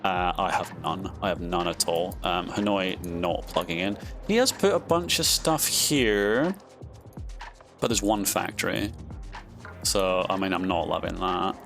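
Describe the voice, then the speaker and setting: A man with a lowish voice talks through a strategy game as he plays, thinking aloud with plenty of ums and half-finished restarts. He breaks into sudden surprised outbursts when something catches his eye. A faint music bed plays under his voice. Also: unhurried, gravelly, a bit bright. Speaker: gaming commentator. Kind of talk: casual strategy game commentary.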